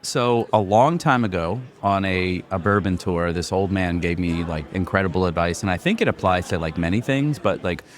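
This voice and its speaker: Deep voiced podcast host guy, rambly, says like a lot. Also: normal speed, few pauses. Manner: loose and rambling, lots of "like" fillers. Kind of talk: casual podcast chat.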